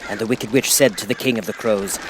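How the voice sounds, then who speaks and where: A man reading a children's audiobook as narrator, with expressive, dramatic storytelling. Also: on the low side, brisk, a little hiss or hum.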